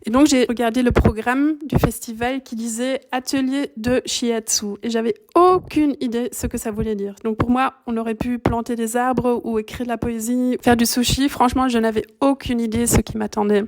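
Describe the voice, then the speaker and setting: A middle-aged woman from Belgium, a native German speaker, telling her story calmly and thoughtfully in a podcast interview. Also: a little high, moderate pace. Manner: calm, thoughtful, searching for words. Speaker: guest, shiatsu practitioner.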